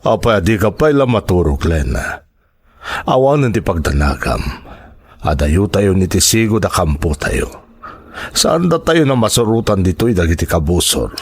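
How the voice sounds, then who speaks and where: Sneaky rebel boss in ilocano radio drama, husky voice, super swoopy pitch, coaxing fake protector type. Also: male voice, big pitch swings, normal speed, exaggerated voice. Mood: smooth reassurance. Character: predatory male rebel leader, falsely protective, persuasive, then menacing. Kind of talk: Filipino radio drama acting.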